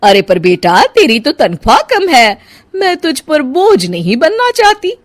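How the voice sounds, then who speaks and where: A woman's voice: sits high, huge pitch swings, unhurried, no room sound, pitched above the performer's own voice, full character voice. A woman voicing an elderly, emotional and sulky mother-in-law in a cartoon moral story. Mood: gently firm.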